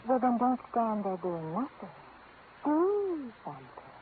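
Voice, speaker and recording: Coy, flirtatious high-voiced woman. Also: sing-song, a little slow. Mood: flirty, coy. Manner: coy, teasing. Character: flirtatious female painter, playful and teasing. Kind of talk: 1940s radio drama acting. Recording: tinny lo-fi old radio recording with noise.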